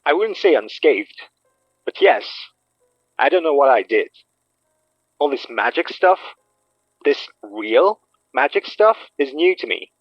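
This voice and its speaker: A man's voice: a little high, sing-song, takes it slowly, pauses often, dry recording, muffled recording, exaggerated voice. A man playing an evasive phony magician in an audio drama, wry and a little defensive. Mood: candid, uneasy.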